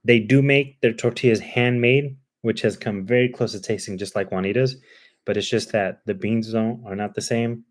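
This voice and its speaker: A man's voice: on the low side, steady tone, normal speed. Cuban-accented man chatting casually. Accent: Cuban Spanish. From Cuba. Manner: friendly, rambling with many ums and uhs. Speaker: livestream host. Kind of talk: casual chat.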